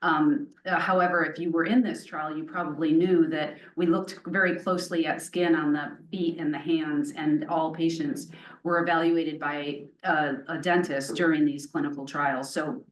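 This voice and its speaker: A woman physician at a hotel conference podium, earnestly and reassuringly explaining drug safety to patients. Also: deep voice. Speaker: physician answering patient questions. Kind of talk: conference Q&A answer. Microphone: podium mic, heard from the room camera. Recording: echoey room, distant mic.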